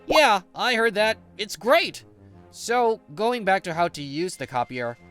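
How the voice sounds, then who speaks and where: A man playing an easygoing, patient office senior in an English manga dub, with an expressive, conversational delivery. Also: high voice, unhurried, clean tone, soft background music, heavily acted. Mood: polite, exasperated.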